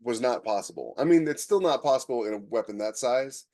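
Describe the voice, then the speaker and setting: A man's voice: mid-range voice, lively intonation, a little fast, dark tone. A man co-hosting a podcast in a casual, conversational way, freely giving his opinions. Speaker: podcast co-host.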